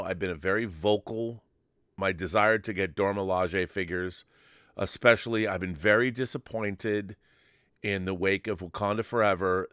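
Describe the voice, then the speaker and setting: Guy with a lowish voice hosting a fan show, very dry sound, keeps restarting sentences. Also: fairly bright tone, no echo. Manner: halting, repeats and restarts phrases. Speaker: talk show host. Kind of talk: casual fan chat.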